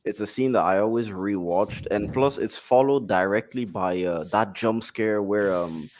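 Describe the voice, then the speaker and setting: A laid-back man joins a podcast as a guest movie reviewer. His voice sits fairly low and his talk is unhurried and easygoing. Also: darkish tone, a little hiss or hum. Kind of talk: casual podcast chat.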